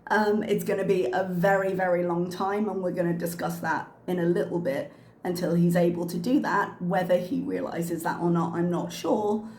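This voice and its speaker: A woman's voice: lowish voice, a little mellow, slight background noise. A woman hosting a reaction commentary channel, talking frankly with frequent ums, her voice a little worn from a cough. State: slightly losing her voice from a cough. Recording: echoey room with faint ambience.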